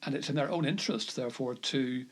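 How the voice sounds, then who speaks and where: Male law professor, husky voice, measured and hesitant delivery. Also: mid-range voice. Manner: measured, hesitant, repeats words. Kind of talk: podcast interview.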